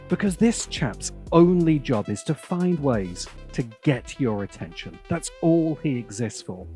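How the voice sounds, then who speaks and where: A British man narrating a scripted opinion video essay as its host, with a sardonic, theatrical and deliberate delivery. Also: fairly high pitch, a little mellow.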